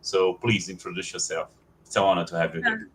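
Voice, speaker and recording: A very low-voiced man from Brazil moderates an online panel. He hands the floor to each speaker warmly, with many fillers and repeated words. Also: little pitch movement, a little fast, slight background noise.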